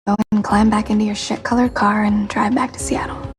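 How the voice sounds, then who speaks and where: An actress as a coldly threatening jealous wife. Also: female voice, medium pitch, little pitch movement, moderate pace, natural voice. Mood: menacing. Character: jealous woman warning off a rival.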